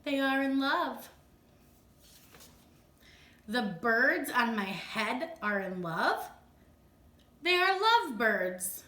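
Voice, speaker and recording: A woman's voice: on the high side, sing-song, extremely slow, pauses often, sharp tone, roomy sound, full character voice. A woman voicing a cheerful young pig who answers plainly and matter-of-factly.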